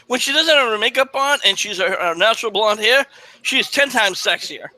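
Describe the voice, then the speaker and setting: A man's voice: high-pitched, animated, fairly quick, sharp tone, no room sound, poor audio. A man chatting casually and eagerly about what he is watching, with frequent fillers. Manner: eager, enthusiastic, hesitant fillers. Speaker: live chat co-host. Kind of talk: casual group chat.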